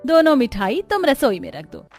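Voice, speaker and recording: A woman's voice: sits high, big pitch swings, moderate pace. A woman playing a caring, practical mother-in-law in a cartoon family story, motherly and instructing. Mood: kindly, instructing. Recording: music bed behind the voice.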